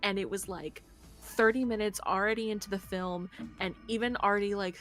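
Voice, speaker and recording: A young woman co-hosting a game stream with casual, animated, rambling commentary peppered with "like". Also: mid-range voice, a little fast. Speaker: streamer co-hosting a visual novel playthrough. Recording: background music under the voice. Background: background music.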